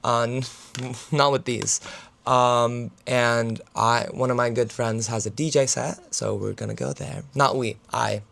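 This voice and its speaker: A young man in his twenties, a student, chatting casually to camera in a rambling vlog, full of fillers, his voice raspy right now. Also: mid-range voice, steady tone, unhurried, fairly bright tone. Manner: filler-heavy, talks with his hands. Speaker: student vlogger.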